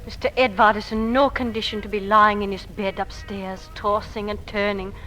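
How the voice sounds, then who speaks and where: An actress playing an anxious, well-to-do mistress of a house in melodramatic old radio style. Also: female voice, medium pitch, flat delivery, fairly bright tone, faint music behind, natural voice, rough recording. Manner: melodramatic, measured. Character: fretful, dread-filled well-to-do mistress of house. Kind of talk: 1940s radio acting. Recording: old radio recording, faint noise.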